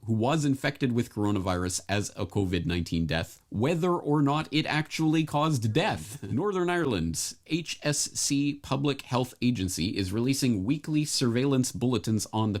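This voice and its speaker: A man reading scripted documentary narration, laying out information in a formal register. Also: mid-range voice.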